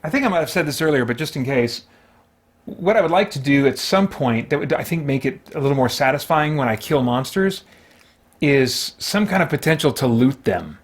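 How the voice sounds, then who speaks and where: A man's voice: medium pitch, animated, normal speed. A man hosting a solo tabletop game, giving casual play-by-play and thinking aloud with mild exclamations and chatty asides to himself.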